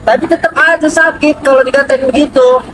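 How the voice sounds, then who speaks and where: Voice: sits high, steady tone, a little fast, slight background noise. A young student acting as a hot-headed classmate in a short film, talking in blunt slang. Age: young adult. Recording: loud music under the dialogue.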